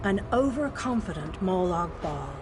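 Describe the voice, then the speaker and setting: An actress playing a solemn female mystical advisor in a fantasy game, speaking in a measured, formal, portentous way. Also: fairly low pitch, expressive, over music, natural voice. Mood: solemn storytelling. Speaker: quest-giving advisor character. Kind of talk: fantasy game lore narration and dialogue.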